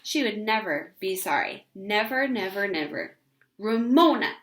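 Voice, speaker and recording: A woman narrating a children's story aloud in an expressive read-aloud style. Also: medium pitch, normal speed.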